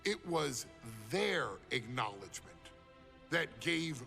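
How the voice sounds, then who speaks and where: A man delivering dramatic scripted narration in a grandiose, reverent manner with emphatic pauses, as the presenter of a showcase. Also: a little high, very animated, creaky, bright, forceful tone. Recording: music under the voice. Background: music.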